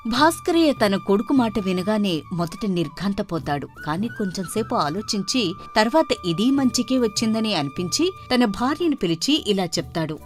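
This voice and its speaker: Expressive woman storyteller over music. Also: medium pitch, moderate pace. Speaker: narrator. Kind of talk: scripted storytelling narration.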